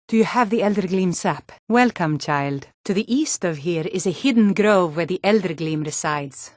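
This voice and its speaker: A woman's voice: mid-range voice, no echo, studio quality, natural voice. Actress as a firm Nordic fantasy warrior woman. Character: hardy Nordic warrior woman, townsfolk and guards of fantasy realm. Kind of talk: scripted fantasy video game character dialogue.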